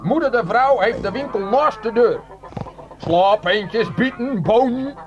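An actor playing a folksy, suspicious farmer in a radio play, grumbling and quaintly formal. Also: male voice, very high voice, unhurried, muffled recording, a crowd in the background. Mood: folksy, guarded. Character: folksy, suspicious Dutch farmer, stubborn and wary of city folk.

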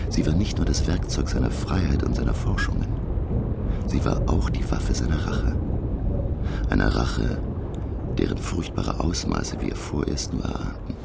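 An actor playing a learned French naturalist professor who narrates a sea voyage in a radio play, measured and reflective. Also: male voice, rumbling low, vocal fry, heavy background noise, exaggerated voice.

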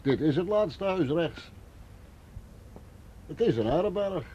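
A man's voice: a little high, lively intonation, slow, dark tone. An actor playing a humble coachman in a Dutch radio play, deferential and hesitant, on a muffled, noisy old recording. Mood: uneasy, respectful.

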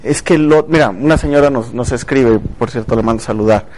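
A man's voice: medium pitch, a little fast, darkish tone, rough recording. A man hosting a casual talk show, chatty and speaking directly to viewers. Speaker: TV show host.

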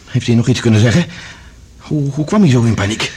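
A man's voice: mid-range voice, animated, brisk, a little mellow, close to an everyday voice. A male actor playing a concerned, decisive man asking serious questions in a science fiction radio play. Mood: probing, astonished. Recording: faint background noise and ambience.

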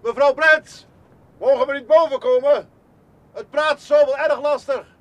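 An actor playing a grave, blunt but decent police detective in a radio drama, measured and polite in manner. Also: male voice, very high-pitched, fairly even intonation, fairly bright tone. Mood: calling out loudly. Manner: measured, polite, hesitant before bad news. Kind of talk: Dutch radio play acting.

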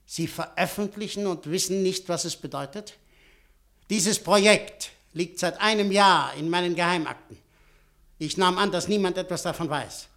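An actor in a German radio play playing an imperious, suspicious official who presses and threatens insistently. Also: male voice, high-pitched, moderate pace. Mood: suspicious, pressing. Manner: insistent, forceful, theatrical delivery.

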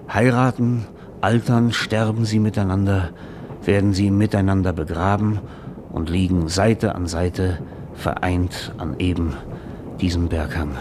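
Ein Schauspieler spielt in einem deutschen Hörspiel einen alternden, trinkenden Drehbuchautor, der schwärmerisch und pathetisch erzählt. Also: male voice, on the low side, background noise, natural voice. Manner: theatrical, rhapsodic, savoring his words. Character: drunken, aging screenwriter, dreamy and grandiose storyteller. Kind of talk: German radio drama acting.

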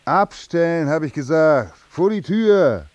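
An actor playing a broke, easily exasperated working-class man in a radio comedy, gruff and colloquial. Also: on the high side, animated, a little slow, a little mellow, dull audio. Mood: irritated. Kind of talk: naturalistic radio comedy drama acting.

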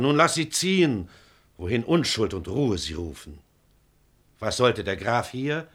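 A man's voice: medium pitch, pushed and bright. An actor playing a stern, suspicious middle-aged father, proud and declamatory, in a classical radio drama. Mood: scornful. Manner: declamatory, severe. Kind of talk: classical stage-style radio drama acting.

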